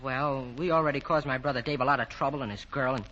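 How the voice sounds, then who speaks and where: An actor playing a nervous, eager young kid jockey in a 1940 radio drama, polite and stammering. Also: male voice, medium pitch, fast talker, pushed and bright, close to an everyday voice. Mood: earnest. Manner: stammering, deferential. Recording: dull vintage 1940 radio recording.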